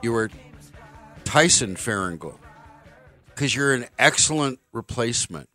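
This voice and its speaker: A man's voice: medium pitch, lively intonation, measured pace, sharp tone, faint music behind. A man hosting a live sports talk radio show from a studio, joking and sarcastic as he runs the segment. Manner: wisecracking, upbeat and sarcastic. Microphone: studio microphone.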